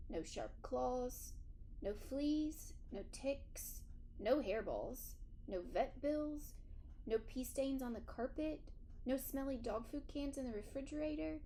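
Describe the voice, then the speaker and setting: A woman reading a children's story aloud in an expressive first-person narration. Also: sits high, a little hiss or hum. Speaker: audiobook reader.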